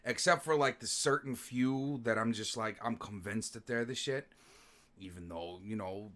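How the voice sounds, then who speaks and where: A man's voice: lowish voice, moderate pace, fairly bright tone. A man hosting a podcast and talking about making beats, laid-back, swearing casually and laughing often. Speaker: podcast host, beatmaker. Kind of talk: casual chat.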